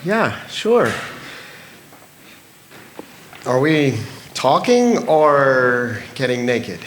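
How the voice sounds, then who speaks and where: Actor as a stubborn, animated farmer boyfriend, roomy stage. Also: male voice, mid-range voice, extremely slow, a little hiss or hum. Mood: guarded. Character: stubborn farmer boyfriend, content with his simple life. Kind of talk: stage musical staged reading. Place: live performance venue, picked up in the room.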